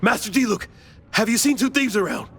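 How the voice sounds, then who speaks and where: A man voicing an excitable, trusting, dutiful city guard in an anime video game, earnest and formal. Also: extremely high pitch, brisk. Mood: alarmed. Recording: faint ambient noise behind.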